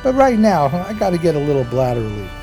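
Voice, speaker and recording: An actor playing a dim, streetwise, loyal homeless sidekick in an American radio play, with hesitant, slangy street talk. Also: male voice, on the high side, huge pitch swings, fast, dark tone. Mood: placating. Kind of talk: radio play dialogue and narration. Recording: music behind the voice.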